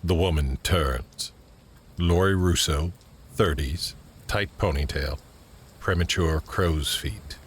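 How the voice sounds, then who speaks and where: Very deep-voiced male narrator. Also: slightly rough. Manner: measured, descriptive. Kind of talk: scripted screenplay-style narration.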